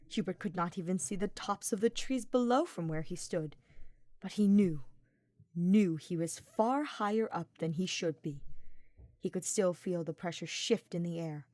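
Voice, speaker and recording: A woman's voice: on the low side, a little mellow, dry recording. Woman narrating fiction, very dry sound. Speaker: audiobook narrator.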